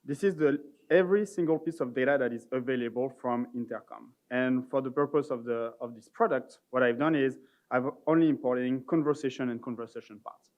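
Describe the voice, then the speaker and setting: A man giving an informal, somewhat halting live product demo as a conference presenter. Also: medium pitch.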